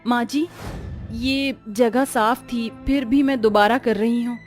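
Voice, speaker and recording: A woman's voice: on the high side, steady tone, takes it slowly. Woman as a patient, put-upon young cartoon bride. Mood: hurt, pleading. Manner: polite, pleading. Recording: music under the voice.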